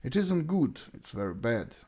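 Ukrainian-accented male teacher, very lively intonation. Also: mid-range voice, slightly rough. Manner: deliberate, repeats short sentences. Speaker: English teacher. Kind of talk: bilingual language lesson, reading example sentences and translations.

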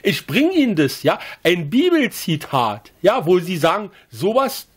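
A man's voice: high-pitched, very animated, measured pace, slightly rough. A man with a Berlin accent ranting in a rambling, conversational way, peppering his talk with chuckles, hesitations and tag questions. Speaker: critic.

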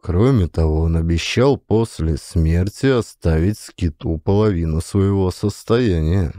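A man reading classic literature as an audiobook, with measured, expressive, dramatic narration. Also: low-pitched, no echo, clean studio sound.

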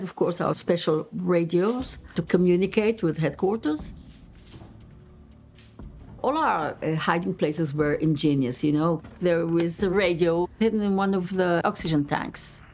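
A woman's voice: on the low side, darkish tone. A woman in her fifties whose first language is German, speaking reflectively in a documentary interview. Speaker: interviewee, former undercover agent.

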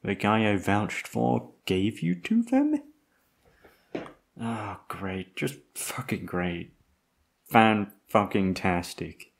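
A man playing a tough, protective, foul-mouthed bodyguard with a husky voice in an ASMR audio roleplay. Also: lowish voice, sing-song, very slow, exaggerated voice. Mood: bitter. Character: tough protective male bodyguard, foul-mouthed, tender toward his charge.

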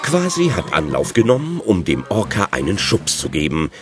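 A man narrates a children's radio play in a deep voice. His delivery is hugely expressive, rising and falling dramatically, with clear music behind him. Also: moderate pace.

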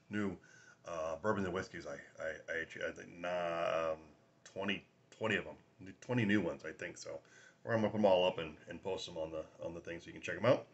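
Man, forties, raspy from a sinus infection, lowish pitch, bright tone, rambling casual chat, phone recording in a small room. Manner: rambling, chatty, laughs often, many fillers. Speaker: whiskey review host. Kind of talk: casual tasting vlog. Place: small room at home, indoors.

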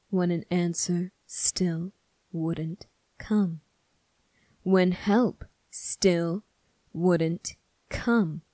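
A stern young demon prince, played by a woman, delivers a measured ASMR monologue to an angel. The words come very slowly in a lowish register, bitter and reproachful, with some phrases falling into breath. The recording is dry and free of room sound. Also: dark tone, no echo. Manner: slow, deliberate, accusing, with breathy whispered phrases. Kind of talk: scripted ASMR roleplay monologue.

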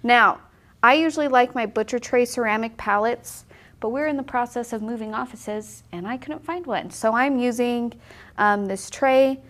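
A woman's voice: a little high, moderate pace, fairly bright tone. Brief: woman in her 30s, cheerful, talkative painting teacher, at a table in an indoor studio. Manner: upbeat, chatty, explains step by step. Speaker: watercolor instructor. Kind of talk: casual on-camera painting tutorial.

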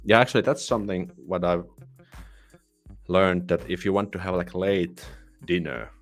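A young Finnish man with a very low voice and a Finnish accent hosts a relaxed live Q&A from a small home room, speaking into a studio mic. He sounds tired and talks in a halting way, restarting his sentences. Faint music plays behind him. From Finland. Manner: relaxed, halting, with many restarts and soft laughs. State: tired, yawning. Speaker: livestream host. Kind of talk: casual live Q&A, reading viewer comments. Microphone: studio microphone on boom arm, headphones on.

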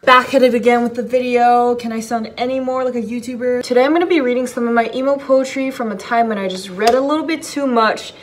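A woman's voice: a little high, fast talker, hardly any pauses, noisy background. A woman in her late teens with a North American accent, chatting casually as a YouTuber in a self-mocking, theatrical way. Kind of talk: casual vlog chat with dramatic readings. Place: basement room at home, indoors. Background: background music.

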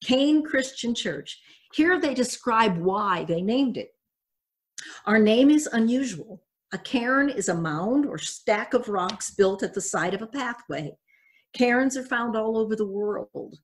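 Measured older woman preaching from a pulpit. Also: a little high, expressive. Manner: measured, reflective, reading from notes. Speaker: pastor. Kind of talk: sermon. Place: church sanctuary at a pulpit.

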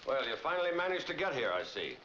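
An American actor playing a gruff, brisk, sarcastic newspaper editor in 1940s film style. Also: male voice, medium pitch, sing-song, bright, forceful tone. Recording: noisy, dull 1940s film soundtrack.

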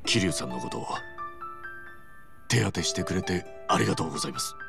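An actor playing an earnest, good-hearted tough guy in a video game, speaking bluntly and roughly from the heart. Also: sits low, expressive, a little fast, over music, full character voice. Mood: earnest, grateful. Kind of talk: video game cutscene acting.

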